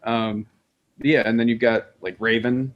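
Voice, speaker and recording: A man with a medium-pitched voice talks casually on a group podcast. He rambles through his opinions in an informal way and swears offhandedly. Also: flat delivery, a little slow, lo-fi sound. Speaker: podcast panelist.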